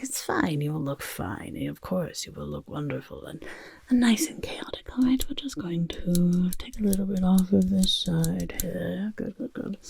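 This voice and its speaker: A woman voicing an eccentric, self-important goblin overlord in soft-spoken ASMR roleplay, half whispering in a breathy rasp and fussing with repeated words. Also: mid-range voice, no echo, heavily acted. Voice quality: breathy, croaky, raspy whisper. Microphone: close, dry ASMR microphone.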